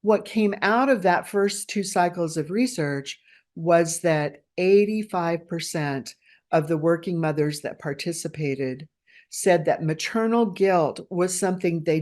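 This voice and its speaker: A middle-aged woman from the United States speaks as an interview guest. She explains her research in an earnest, measured way with academic phrasing. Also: fairly low pitch, darkish tone. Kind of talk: conversational interview answer.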